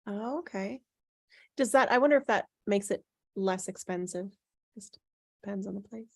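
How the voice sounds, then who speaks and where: A woman leading a casual group discussion in a chatty, agreeable way, with frequent soft interjections. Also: medium pitch, normal speed, vocal fry, dry recording, clean studio sound. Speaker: host leading a script table-read discussion.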